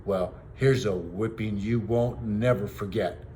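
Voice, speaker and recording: A man's voice: fairly low pitch, unhurried, warm tone, lightly acted. A man voicing a big, hairy, greedy outlaw from a storybook, angry and bullying, growling threats in rustic slang on a noisy home recording. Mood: angry, threatening.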